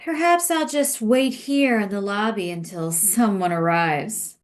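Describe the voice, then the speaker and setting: A woman playing a calmly sinister nurse in a comic audio play, speaking in a composed, formal, measured way. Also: mid-range voice, natural voice. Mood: scheming, composed. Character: calmly sinister female nurse eager to dose people.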